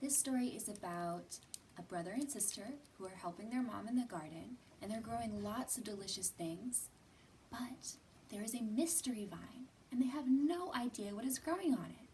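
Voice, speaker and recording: A woman librarian giving a storytime reading for young children, sing-song and expressive, pausing to ask the little listeners questions. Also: fairly high pitch, slight background noise, poor audio.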